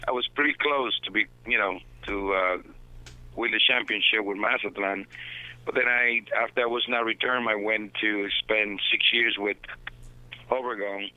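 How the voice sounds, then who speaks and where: A man's voice: fairly low pitch, fairly even intonation, normal speed, no room sound. A man on a tinny phone line. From Dominican Republic. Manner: earnest, reflective storytelling. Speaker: baseball manager guest. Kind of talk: phone interview. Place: at home.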